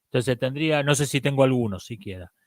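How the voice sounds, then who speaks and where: Argentine male host, mid-pitched, emphatic casual opinion talk, dry close mic. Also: dry recording. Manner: emphatic, repeats himself, halting restarts. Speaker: livestream host answering viewer questions.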